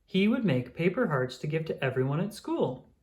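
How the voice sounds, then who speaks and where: Very animated children's storybook narrator. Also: medium pitch, normal speed. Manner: clear storytelling for young children. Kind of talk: expressive scripted read-aloud narration.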